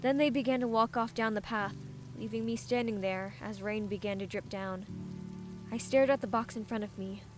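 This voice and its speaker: A woman's voice: medium pitch, moderate pace, music in the background, lightly acted. A woman playing an emotional, impulsive young female fighter in a fantasy audiobook. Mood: sad. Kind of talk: dramatised audiobook, narration and acting.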